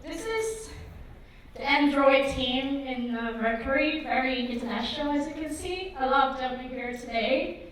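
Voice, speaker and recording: High-voiced woman in an echoey hall. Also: expressive, normal speed, fairly bright tone, slight background noise. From Vietnam. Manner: hesitant, many ums and likes. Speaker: conference speaker. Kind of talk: conference talk. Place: conference hall. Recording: echoey hall, mic far off.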